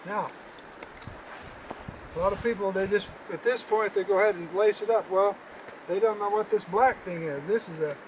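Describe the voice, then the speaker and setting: An older man giving a casual how-to demonstration as a fishing guide, folksy and halting, often repeating words, recorded outdoors from a few metres away. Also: high-pitched. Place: outdoors at a roadside in the country, by a car. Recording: clear outdoor background noise.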